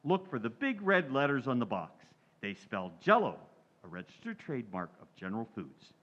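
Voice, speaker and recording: A lively, swooping male voice of middle pitch on a slightly dull recording. A man plays a folksy, cheery 1940s radio announcer, polished in a scripted pitchman style. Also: normal speed. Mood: cheery selling. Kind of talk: 1940s radio ad and scene narration. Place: community theatre stage radio recreation.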